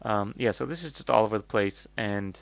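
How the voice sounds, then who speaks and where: A man's voice: fairly low pitch, a little fast, gravelly, no room sound. A North American man hosting a casual, off-the-cuff product review, rambling and blunt as he thinks aloud.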